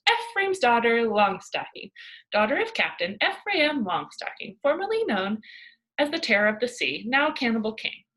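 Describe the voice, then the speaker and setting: A woman's voice: a little high, fairly bright tone, low-quality recording. A woman voicing a cheeky, stubborn young girl in a storybook, speaking emphatically and with self-importance.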